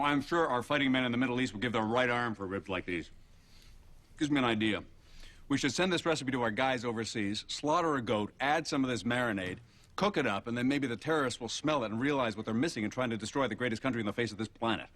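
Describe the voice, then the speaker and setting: An actor playing a folksy, self-important, flag-waving American TV host, rambling and sanctimonious in a film drama. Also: male voice, mid-range voice, quick pace, fairly bright tone, lightly acted. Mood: patriotic, pleased.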